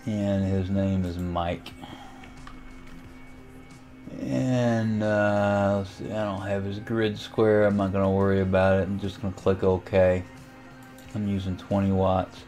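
A man giving a casual, unhurried screen tutorial, thinking aloud as he goes. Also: sits low, flat delivery, mellow, faint music behind. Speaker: amateur radio tutorial host. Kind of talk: casual screen-recorded software walkthrough.

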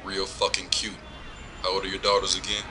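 A man's voice: sits low, completely flat delivery, brisk, over music, lightly acted. A man playing a smug, corrupt lawman in an audio drama, taunting and profane as he coolly threatens his informant. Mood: mocking, hard. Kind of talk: scripted audio drama dialogue.

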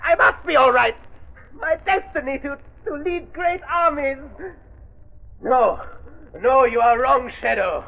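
An actor playing a scheming, megalomaniac army villain in a 1930s radio drama, with a theatrical, formal and sardonic delivery. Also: male voice, very high voice, measured pace, gravelly, no room sound, exaggerated voice. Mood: frantic. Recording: muffled 1930s radio broadcast recording.

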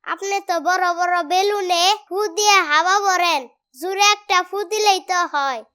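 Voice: extremely high pitch, normal speed, bright, forceful tone. A performer voicing a cheeky, nosy little boy in a comedy cartoon, with a colloquial Bangladeshi accent and a pert, argumentative manner. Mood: cheeky, insistent. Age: child character. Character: cheeky young nephew, small nosy boy.

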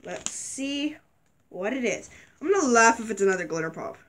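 A young woman vlogger chatting casually while unboxing toys, a little sick, her voice wearing thin, squealing excitedly at surprises. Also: fairly high pitch, moderate pace, slight background noise. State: slightly sick, voice going. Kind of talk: casual toy unboxing chat. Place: dim bedroom, indoors.

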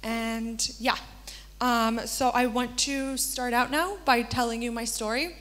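A woman's voice: on the high side, steady tone, slow pace, a bit bright. Earnest young woman presenting with many ums. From Argentina. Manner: earnest, frequent "um" fillers. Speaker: workshop presenter. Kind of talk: conference talk. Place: small stage in a darkened hall with a projection screen. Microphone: handheld mic.

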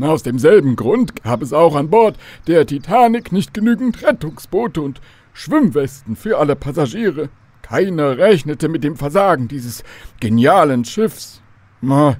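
An actor playing a courteous, old-fashioned, learned professor in a children's radio drama, formal and pedantic. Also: male voice, fairly high pitch, a little mellow, no room sound.

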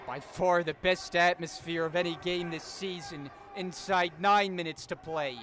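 A man calling a college basketball game play-by-play, getting louder and excited on big plays. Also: high-pitched, unhurried. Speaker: play-by-play announcer. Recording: crowd and court noise behind the commentary.

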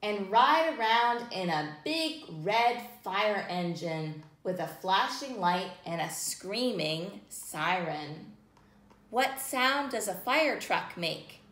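A woman in her thirties hosting a children's storytime, reading aloud in simple, deliberate phrases and putting questions to young listeners. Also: mid-range voice, lively intonation, a bit bright. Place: small room indoors, near a plain wall. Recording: roomy indoor echo.

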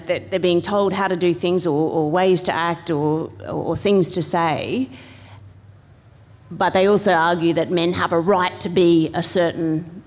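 A woman moderating a live panel discussion with measured, careful phrasing. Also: fairly low pitch, a little mellow. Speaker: panel moderator, radio host. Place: large event venue, live panel. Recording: reverberant hall.

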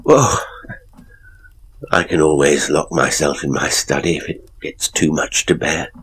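A man voicing a secretly scheming husband with a soothing, measured manner in a horror story. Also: a bass voice, steady tone, fairly bright tone, breathy edge, voice pushed down, heavily acted, rough recording. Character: smooth-talking, secretly scheming husband of an ailing wife.

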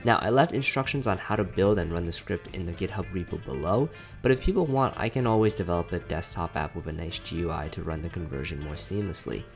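A man with a fairly low voice narrates a scripted software tutorial. He explains things in a casual, plain-spoken way. Faint guitar music plays behind him. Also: animated, quick pace. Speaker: software developer presenting a tutorial. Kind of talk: scripted explanatory narration over screen recording. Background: background music with guitar.

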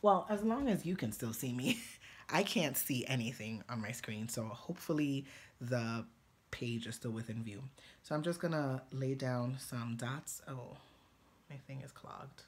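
A woman's voice: a bass voice, a little slow, breathy edge. A woman from New York City with a New York accent and Spanish as her first language, chatting and laughing as she paints on a live stream. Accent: New York, Dominican Spanish-influenced. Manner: chatty, laughs often, talks while working. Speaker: painting tutorial host. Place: indoors at a home painting desk.

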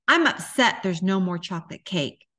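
A woman's voice: lowish voice, lively intonation, moderate pace, pushed and bright. Woman as a hot-tempered little storybook ninja. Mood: self-controlled. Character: hot-tempered young cartoon ninja child, storybook narrator-hero. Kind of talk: storybook character dialogue.

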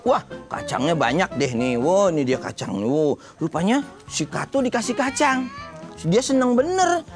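Guy hosting kids story time, super animated and playful, music behind him. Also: high-pitched, moderate pace. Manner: playful, asks the children questions. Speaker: children's TV storyteller and host. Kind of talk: animated storytelling to young children. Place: TV studio set with seated children.

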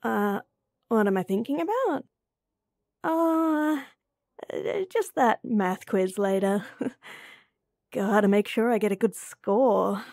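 A woman's voice: mid-range voice, animated, takes it slowly, gravelly, long pauses, darkish tone, heavily acted. A woman voicing a young woman who teases her childhood friend while hiding a crush, switching between whispered inner thoughts and playful banter in an audio roleplay. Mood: playful teasing. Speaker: voice actress.

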